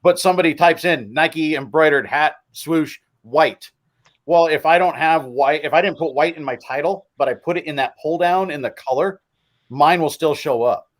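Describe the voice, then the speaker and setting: A man co-hosting a casual livestream chat, explaining things at a relaxed pace with examples. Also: fairly high pitch, normal speed. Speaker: co-host.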